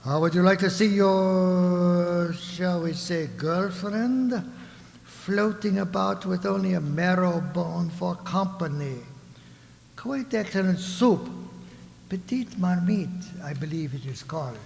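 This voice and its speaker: Pompous onion ruler, high voice, unhurried, courteous menace, theatrical radio play, long reverb. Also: male voice, creaky, a little mellow, exaggerated voice. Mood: smoothly threatening. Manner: pompous, mock-genteel menace. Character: scheming old onion ruler, smooth, courteous tyrant. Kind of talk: theatrical radio drama acting. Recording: echoey hall with long reverb.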